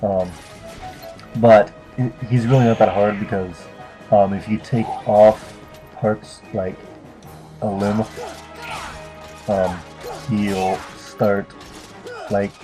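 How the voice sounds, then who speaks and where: Low-voiced young man over game music. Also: fairly even intonation, takes it slowly, long pauses, warm tone. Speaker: let's play commentator. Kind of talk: casual unscripted gameplay commentary. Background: video game music.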